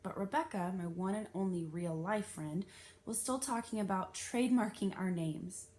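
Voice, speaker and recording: A woman narrating a children's novel aloud in an expressive, animated and emphatic storytelling style. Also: medium pitch, normal speed.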